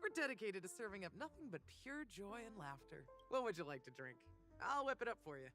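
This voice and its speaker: A showy bar hostess for a video game. She is suave and playful, with polished, theatrical patter that swings widely in pitch. Music plays underneath. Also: big pitch swings, a little fast, fairly bright tone. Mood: welcoming, showy. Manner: theatrical, teasing, polished hospitality. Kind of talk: video game character voice acting.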